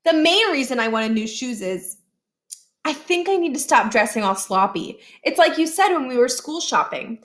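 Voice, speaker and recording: A woman voicing a whiny young schoolboy who pleads with his mother in drawn-out, emphatic words. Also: sits high, expressive, fairly bright tone, voice pushed up. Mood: exasperated pleading.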